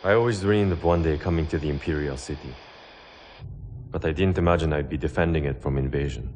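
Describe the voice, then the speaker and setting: Very deep, stoic veteran mercenary. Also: male voice, a little fast, a little mellow, a little hiss or hum. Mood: reflective. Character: stoic veteran male mercenary pilot, humble origins. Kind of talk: dramatic video game cutscene acting.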